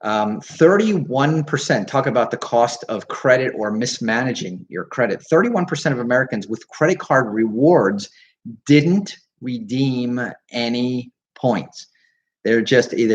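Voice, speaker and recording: A man hosting a live radio talk show, with an energetic, salesy way of talking and frequent fillers. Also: medium pitch, normal speed, a little hiss or hum. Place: radio studio.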